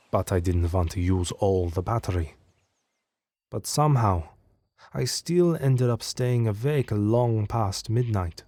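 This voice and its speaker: A man's voice: on the low side, a little mellow, dry recording. A man reading a scripted first-person horror story as narrator.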